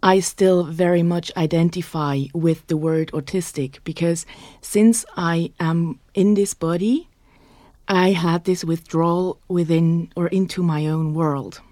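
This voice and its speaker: A woman in her thirties with a Swiss German accent, hosting her own podcast in an earnest, frank monologue, often adding "like" between quick bursts. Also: lowish voice, steady tone, mellow, dry recording. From Switzerland. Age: 30s. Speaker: podcast host.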